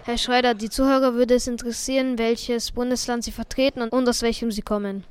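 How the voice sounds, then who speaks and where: A woman's voice: a little high, level tone, fairly quick, clear, resonant tone. A teenage girl interviewing guests for a school podcast, asking polite, formal questions. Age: teens. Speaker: student interviewer.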